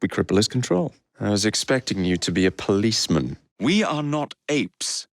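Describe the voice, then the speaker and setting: An actor playing a cocky, witty young English gang leader in a Victorian video game, flippant and theatrical. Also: male voice, on the low side, sing-song, normal speed, no echo, clean studio sound. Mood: bold, mocking. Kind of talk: video game character voice lines.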